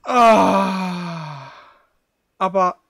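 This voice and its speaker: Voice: mid-range voice, extremely slow, gravelly, a bit bright. A streamer giving casual live commentary on a video game, thinking aloud and asking questions while playing. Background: game music.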